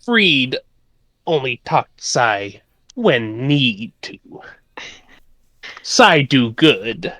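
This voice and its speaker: A man voicing a pompous, blunt tabletop game character who talks in terse, halting broken sentences. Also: mid-range voice, very animated, bright, forceful tone, no room sound, full character voice. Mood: pompous, curt. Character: pompous, blunt character speaking broken third-person English.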